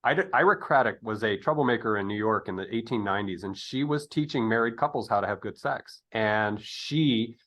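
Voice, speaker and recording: A man hosting an interview in casual conversation, digressive and halting, often correcting himself with a jocular streak. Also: on the low side, fairly bright tone. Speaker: podcast interview host. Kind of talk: casual interview chat with rambling history asides.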